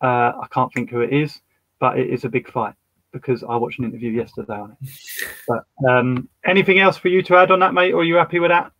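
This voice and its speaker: A man's voice: mid-range voice, fairly even intonation, fast talker, dull audio. A man co-hosting a podcast, chatting in an easygoing, jokey way. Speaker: podcast co-host. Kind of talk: casual chat.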